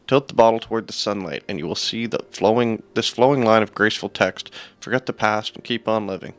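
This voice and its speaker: A man's voice: fairly low pitch, brisk, fairly bright tone. A man doing casual live gameplay commentary as a streamer, offhand and dry, at times reading game text aloud. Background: game music.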